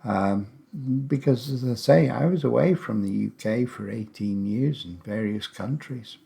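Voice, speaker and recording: A man's voice: medium pitch, a little slow, gravelly, mellow. A man in his seventies, host of a podcast, talking about himself in a chatty, rambling way with wry asides. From England. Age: 70s. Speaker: podcast host, English teacher. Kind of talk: casual personal monologue.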